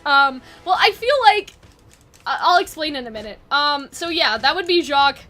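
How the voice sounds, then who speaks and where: Casting: woman host, high-pitched and bright, casual and animated, rambling off the cuff, playfully dismissive. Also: normal speed. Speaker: video host. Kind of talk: casual brainstorming chat.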